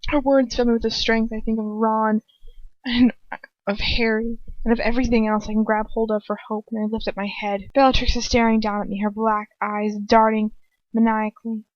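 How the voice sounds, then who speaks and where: A woman's voice: a little high, level tone, fairly quick, dry recording, highs cut off. A young woman reading fan fiction aloud informally, breaking off with sweary, irreverent asides and corrections of her own slips. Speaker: amateur fan fiction reader.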